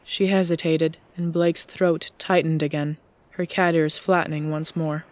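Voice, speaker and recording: A woman's voice: low-pitched, flat delivery, unhurried, darkish tone. A woman reading scripted story narration as the narrator of an audiobook.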